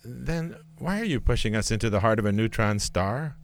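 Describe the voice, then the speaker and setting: A man's voice: on the low side, lively intonation, moderate pace. A man playing a pompous, exasperated starship captain in a comedic radio play, stuffy and declamatory. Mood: formal. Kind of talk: comedic radio theatre parody acting.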